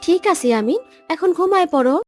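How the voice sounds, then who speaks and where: A woman's voice: very high voice, lively intonation, fast talker, faint music behind. A woman voicing a kindly, pious young aunt in a family cartoon, with a colloquial Bangladeshi accent. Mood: sympathetic. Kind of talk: Bengali cartoon dubbing.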